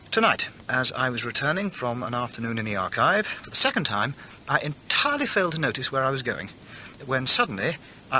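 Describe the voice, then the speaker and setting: Bookish antiquarian gentleman, hesitant musing, drawn to the macabre, radio drama, bright voice, long reverb with hiss. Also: fairly high pitch, heavily acted. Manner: musing, hesitant, old-fashioned literary diction.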